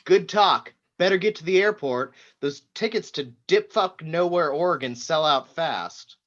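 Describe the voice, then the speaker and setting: An actor playing a brash, demanding, foul-mouthed tech tycoon, pushy and sarcastic, in a screenplay table read. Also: male voice, fairly high pitch, animated, a little slow, a bit bright, lo-fi sound. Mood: sarcastic, exasperated.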